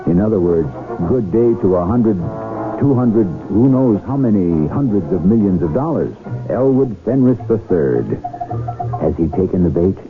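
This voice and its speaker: A man narrating a 1970s radio drama as its host, theatrical, wry and deliberate, with rhetorical flourishes. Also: lowish voice, lively intonation. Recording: muffled old radio broadcast, music behind. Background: music.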